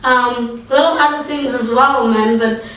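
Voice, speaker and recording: A young South African woman talks about her life in an easy, rambling way, full of fillers. Her voice sits a little high but barely moves. She is heard from a distance, in a very echoey room. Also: steady tone, normal speed, a bit bright. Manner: loose, filler-heavy storytelling with many likes and ums. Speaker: rapper and guest. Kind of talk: casual conversation. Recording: roomy space, distant mic.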